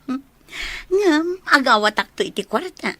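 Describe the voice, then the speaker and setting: A woman's voice: high voice, big pitch swings, a little slow, sharp tone, no room sound, heavily acted. A woman playing a hesitant, money-minded young village daughter in an Ilocano comedy radio drama. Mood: explaining.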